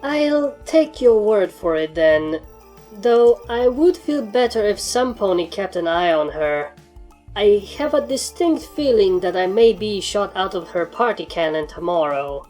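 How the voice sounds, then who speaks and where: A woman's voice: mid-range voice, lively intonation, unhurried, warm tone, faint music behind, close to an everyday voice, rough recording. A woman voicing an anxious pony with a dry, wry manner in a fan fiction story reading. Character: anxious, sarcastic pony with a wary streak.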